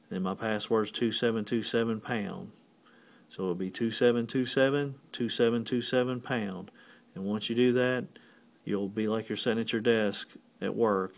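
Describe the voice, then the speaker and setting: A man's voice: on the low side, gravelly. Man giving a methodical instructional walkthrough. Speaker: technician giving a tutorial.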